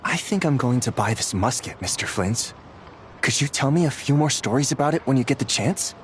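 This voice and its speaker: A man's voice: mid-range voice, animated, fairly quick, a little hiss or hum, close to an everyday voice. A man playing an earnest, respectful young soldier in an anime-style game, sincere and curious. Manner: sincere, polite.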